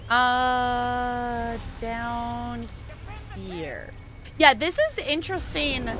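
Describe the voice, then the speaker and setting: A young woman in her twenties talks through her gameplay on stream in an easy, rambling way. Her voice sits a little high and sounds bright and forward, and she laughs often between thoughts. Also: slight background noise. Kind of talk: casual gameplay commentary.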